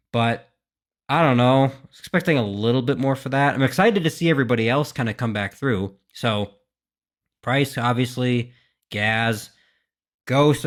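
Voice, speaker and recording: Male gaming host, mid-range pitch, casual off-the-cuff reaction talk, hesitant and thinking aloud. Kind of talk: casual unscripted reaction commentary.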